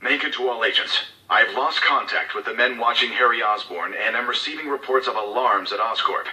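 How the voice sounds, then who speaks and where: A man's voice: mid-range voice, fairly quick, pushed and bright, echoey room, far from the mic, poor audio. An actor playing a cold, scheming male corporate executive who gives covert orders in formal, clipped, authoritative speech for a game audio log. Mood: urgent, grim.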